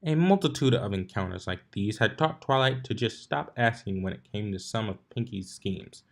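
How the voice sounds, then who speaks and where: A man's voice: fairly low pitch, animated, normal speed, vocal fry. A man reading fan fiction aloud as narrator, in an amateur style with occasional stumbles over the words. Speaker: fanfiction narrator.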